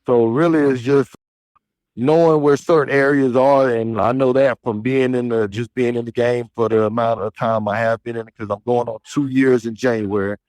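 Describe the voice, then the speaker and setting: A man's voice: medium pitch, steady tone, normal speed, a little mellow, dry recording, low-quality recording. Trucker guest, man in his 30s, rambling easygoing delivery, dry sound. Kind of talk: casual phone interview. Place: outdoors at a trick-or-treat event.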